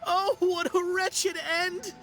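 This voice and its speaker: Scared superstitious villager in a game, high pitched, very melodramatic. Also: female voice, little pitch movement, takes it slowly, fairly bright tone, soft background music, exaggerated voice. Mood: fearful. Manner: melodramatic, fretful. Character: superstitious, panicky young villager convinced of being cursed. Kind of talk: video game voice acting.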